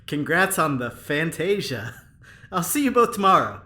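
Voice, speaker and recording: A man's voice: fairly high pitch, sing-song, normal speed, sharp tone. A man acting a flippant, teasing scientist in an audio drama, with a wry, offhand delivery. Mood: pleased, teasing. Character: sarcastic, flippant, lively male scientist colleague.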